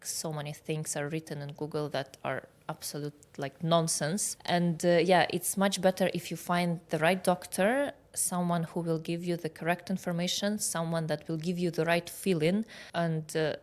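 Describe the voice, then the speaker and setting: Low-voiced woman with a Russian accent. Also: fairly even intonation, moderate pace, few pauses. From Kazakhstan. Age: 30s. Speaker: guest expert, aesthetic doctor. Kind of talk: conversational interview explanation.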